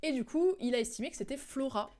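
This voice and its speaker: A woman streamer giving casual, informal game commentary, recapping a story haltingly as she recalls it. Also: high voice, lively intonation, darkish tone.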